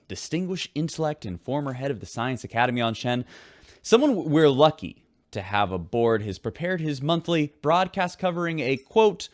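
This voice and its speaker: A man's voice: mid-range voice, no echo, clean studio sound, natural voice. An actor playing a wry, showy male radio host aboard a spaceship in a sci-fi audio drama. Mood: showy, reluctant.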